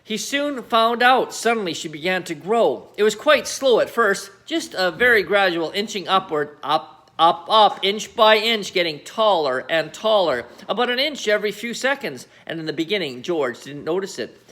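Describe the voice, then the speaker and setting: A man narrates a children's story in a bright, high voice. His delivery is very animated and dramatic, leaning on key phrases and pausing deliberately between them. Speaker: storyteller reading aloud.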